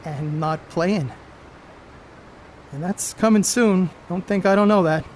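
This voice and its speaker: Man playing a high-voiced, brash, wisecracking ballplayer. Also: takes it slowly, slight background noise. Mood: blunt, uneasy. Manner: slangy, blunt, teasing. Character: brash wisecracking star ballplayer, middle-aged man, gruff but good-natured. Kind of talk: radio play acting.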